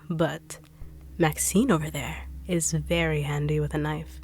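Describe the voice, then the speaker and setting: A woman voicing a greedy bodyguard turned villain who taunts with cold, quiet menace. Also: low voice. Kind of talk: audio roleplay acting.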